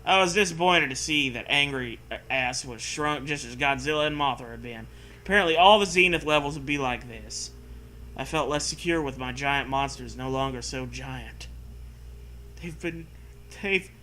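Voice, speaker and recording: A man's voice: fairly high pitch, lively intonation, moderate pace, pushed and bright. A man doing a mocking dramatic reading with casual riffing, sarcastic, profane and prone to hammy emphasis. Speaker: comedy co-host reading fan fiction aloud.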